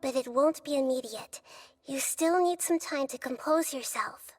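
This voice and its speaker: Woman as a high-voiced, wise little child goddess. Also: close to an everyday voice. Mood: calm, understanding. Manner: measured, thoughtful, reassuring. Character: wise, gentle, childlike little female deity. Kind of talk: video game cutscene acting.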